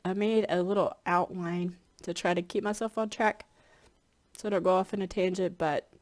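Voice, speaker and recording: A somewhat low-pitched woman talks casually to her followers, her voice a bit stuffy and scratchy from congestion. She rambles along, pausing with frequent ums and restarting her sentences. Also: fairly even intonation. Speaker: spiritual hypnotherapy practitioner. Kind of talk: casual chat to camera.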